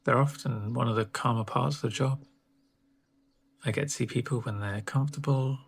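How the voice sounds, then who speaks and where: Soft-spoken, creaky-voiced man in ASMR roleplay. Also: medium pitch. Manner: gentle, reassuring, unhurried with pauses. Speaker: ASMR performer playing a home-visit doctor.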